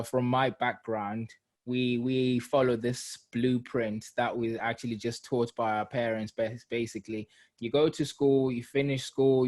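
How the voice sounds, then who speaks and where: A man in his thirties from Zimbabwe, a guest telling his story in a relaxed, reflective way with many fillers. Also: medium pitch. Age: 30s. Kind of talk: casual interview.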